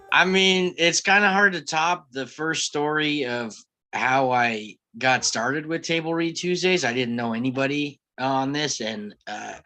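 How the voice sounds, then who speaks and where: A man tells a story over a video call in a bright, forward voice. He rambles with fillers and easy laughter. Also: medium pitch. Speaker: cast member of a live table read podcast.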